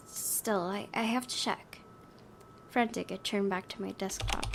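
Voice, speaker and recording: A woman doing let's play narration, reading a story aloud expressively with casual, offhand asides. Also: creaky. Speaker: gaming video host, reading a visual novel aloud. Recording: faint low rumbling background noise.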